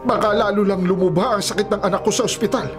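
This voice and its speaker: A man's voice: high voice, fast talker, natural voice. A man playing a worried, protective old grandfather in a Filipino radio melodrama, earnest and fretful. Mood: serious. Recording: dramatic music behind.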